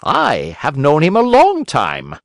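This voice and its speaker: A man's voice: a little high, huge pitch swings, slow, creaky, dry recording, studio quality, pitched above the performer's own voice, heavily acted. A man voicing a stern, lecturing cricket doctor. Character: stern pompous old male cricket doctor.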